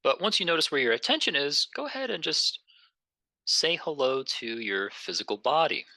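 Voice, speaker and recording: A man leading a guided meditation in a gentle, unhurried, conversational way, pausing between phrases. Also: fairly high pitch, big pitch swings, dry recording, poor audio.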